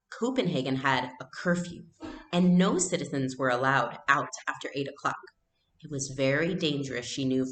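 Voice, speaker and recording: A woman reading a story aloud as narrator, in storybook narration style. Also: rumbling low. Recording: echoey room.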